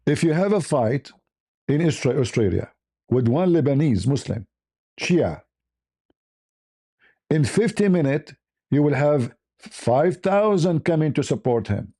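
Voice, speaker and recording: Mellow-voiced man with an Arabic accent. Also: fairly high pitch, animated, measured pace, pauses often. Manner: blunt, repeats phrases for emphasis. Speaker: livestream host. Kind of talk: impromptu opinionated monologue.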